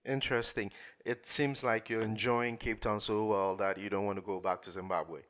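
A man's voice: fairly low pitch, fairly even intonation, normal speed, a bit bright. A man hosting a podcast, welcoming his guest in a formal, courteous way with frequent fillers and restarts. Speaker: podcast host. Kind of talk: podcast interview.

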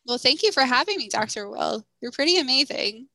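A woman's voice: high-pitched, animated, fairly quick, pushed and bright, no echo. A woman guest, a school counselor and author, answering interview questions in a friendly, thoughtful way with frequent ums.

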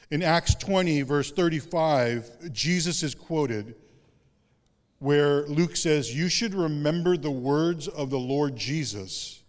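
A male pastor giving a sermon in a conversational, self-deprecating way with casual asides. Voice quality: deep voice. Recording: long hall reverb.

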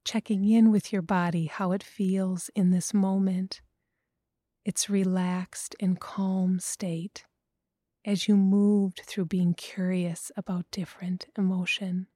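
A woman guiding a breathing meditation, speaking slowly and softly and often in a whisper. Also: medium pitch, level tone, no room sound. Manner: slow, soft, often whispered. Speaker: meditation guide.

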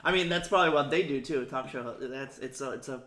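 A man's voice: mid-range voice, a little fast, sharp tone. A man from Puerto Rico in a casual podcast chat, curious and agreeable, asking lots of questions. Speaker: podcast co-host. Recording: roomy echo.

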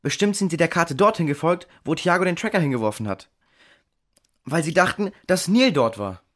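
A man's voice: on the high side, lively intonation, fairly quick, a bit bright, dry recording, clean studio sound. A man playing an alert, inquisitive boy who reasons things out in a children's audio drama. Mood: reasoning. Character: alert, puzzle-solving boy in an adventure crew.

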